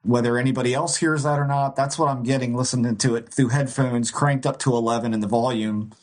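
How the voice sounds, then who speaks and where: A man's voice: mid-range voice, fairly even intonation, a little fast. Rambling man telling a ghost story. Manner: rambling, restarts often, laughs while telling. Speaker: co-host. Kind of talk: casual podcast storytelling.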